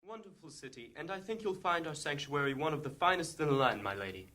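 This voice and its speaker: A man playing a courteous, stately high priest in a fantasy audio drama, speaking formally. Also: expressive, fast talker, pushed and bright. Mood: gracious.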